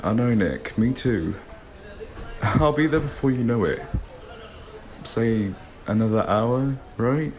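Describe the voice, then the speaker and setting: A man acting a calm, everyday airline passenger who takes charge in an audio drama. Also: mid-range voice, slow, low-quality recording. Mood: fond, weary.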